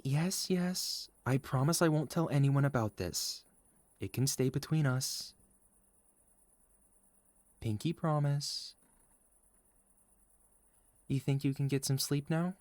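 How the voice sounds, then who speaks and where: A man playing a sweet, caring, gently teasing boyfriend in a soft, reassuring ASMR roleplay. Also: mid-range voice, slow, pauses often, no echo, close to an everyday voice. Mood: affectionate, playful. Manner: soft, tender, reassuring, playful. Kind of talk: ASMR boyfriend roleplay, intimate scripted monologue.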